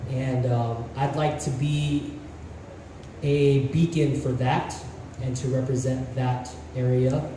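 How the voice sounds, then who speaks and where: A young man giving an earnest, halting self-introduction on stage as a pageant contestant, holding a handheld mic. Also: medium pitch, little pitch movement, dark tone, a little hiss or hum. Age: young adult. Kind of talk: prepared self-introduction speech. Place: large indoor hall stage. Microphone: handheld mic, filmed from far. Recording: echoey hall from far off.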